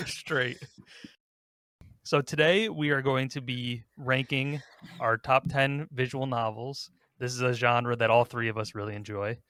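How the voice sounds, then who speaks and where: Bright-voiced man hosting a podcast. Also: mid-range voice, unhurried, dry recording. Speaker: podcast host. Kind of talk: casual ranking discussion.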